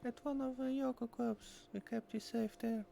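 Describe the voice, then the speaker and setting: A man's voice: very high voice, fairly even intonation, moderate pace, gravelly, warm tone, slight background noise, voice pushed up, exaggerated voice. A man voicing an earnest young woman from a visual novel in a strained, feminine delivery.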